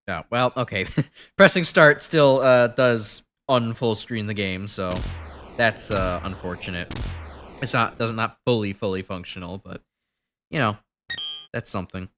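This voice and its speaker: A man's voice: lowish voice, a little slow, a bit bright. A congested man giving casual, rambling gameplay commentary as a streamer, full of fillers and restarts. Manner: rambling, self-correcting, frequent fillers. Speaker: gaming streamer. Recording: game music in background. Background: game music.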